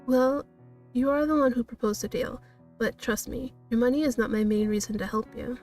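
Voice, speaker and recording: Woman reading as determined young magic heroine, earnest and coaxing, higher voice, visual novel, music underneath. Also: fairly even intonation, normal speed, a little mellow, distant mic, lightly acted.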